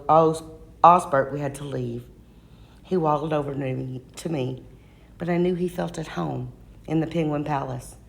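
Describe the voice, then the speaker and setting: A woman reading a children's storybook aloud in an expressive way, slowing down for emphasis. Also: sits low. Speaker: school staff reader.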